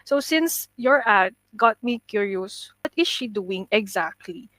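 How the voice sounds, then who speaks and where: Relaxed Filipino woman, dry close sound. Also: medium pitch, measured pace, no room sound. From Philippines. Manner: relaxed, storytelling, laughs often, mixes Tagalog into English. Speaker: guest, business partner. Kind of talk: casual livestream chat.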